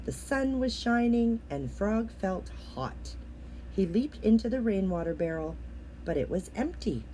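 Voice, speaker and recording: Slow, mellow woman reading a children's story. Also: mid-range voice, expressive, slight background noise, poor audio. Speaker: storybook reader. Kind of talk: expressive children's story narration.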